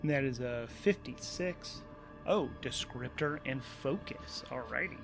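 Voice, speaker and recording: A man hosting and narrating a solo tabletop game in a casual way, thinking aloud and improvising haltingly, often repeating words as he goes. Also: mid-range voice, lively intonation, gravelly, music in the background.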